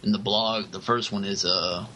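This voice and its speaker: Bright-voiced man in halting podcast chat. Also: fairly low pitch, fairly even intonation, slight background noise. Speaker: podcast co-host. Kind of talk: casual chat.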